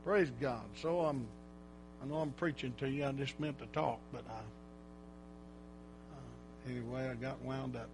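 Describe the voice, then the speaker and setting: A man preaching informally in church, rambling and folksy, breaking into loud exhortations. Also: medium pitch, steady tone, unhurried, pauses often, background noise.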